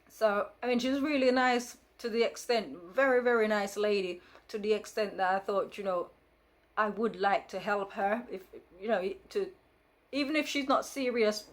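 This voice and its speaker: A woman in her fifties whose first language is Jamaican Patois tells a story to camera in a casual, rambling way, with many fillers and restarts and a firm, frank edge. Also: medium pitch. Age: 50s. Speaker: vlogger.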